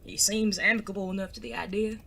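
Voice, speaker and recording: A woman's voice: medium pitch, fairly even intonation, fast, creaky, sharp tone, slight background noise. A woman playing an anxious, earnest bandit son in an audio drama, speaking politely and formally with some hesitation.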